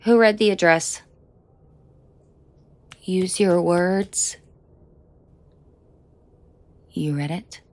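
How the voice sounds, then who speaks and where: A woman playing a menacing, protective and flirtatious mafia boss in an intimate audio roleplay, with a breathy, husky voice and dry sarcasm. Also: no room sound. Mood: furious, scathing. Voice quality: breathy, husky low voice with vocal fry. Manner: slow, deliberate, dryly sarcastic, often dropping to a murmur. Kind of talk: intimate audio roleplay acting addressed to the listener.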